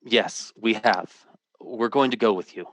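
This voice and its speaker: An actor playing a frightened, protective husband and father in an old-time radio drama, urgent and halting. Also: on the low side, fairly bright tone, highs cut off. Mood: nervous.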